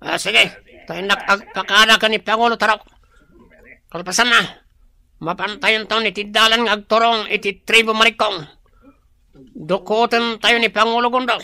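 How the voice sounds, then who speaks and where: An actor playing a sly, obedient male henchman in a radio drama, with a creaky, sharp voice. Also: high voice, fairly even intonation, moderate pace, long pauses, full character voice. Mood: scheming.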